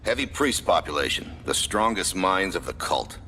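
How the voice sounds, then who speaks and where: A man playing a stern, authoritative military commander giving formal, crisp mission briefings in a video game. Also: low voice, lively intonation, normal speed, sharp tone, slight background noise.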